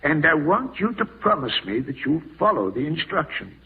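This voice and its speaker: A man's voice: fairly high pitch, big pitch swings, normal speed, creaky, husky, phone-quality sound, exaggerated voice. A man in an old-time radio drama playing a blunt, stubborn, ailing old farm owner with a commanding, crotchety way of talking. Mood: solemn, softened. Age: older. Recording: scratchy band-limited old radio recording.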